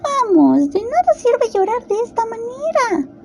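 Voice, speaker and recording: A very high, soft-toned little girl from a fairy tale, acted out by a woman. She is anxious and curious, reasoning earnestly with herself, with faint noise behind her. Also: sing-song, unhurried, full character voice. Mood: firm scolding. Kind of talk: storybook character acting in an audio drama.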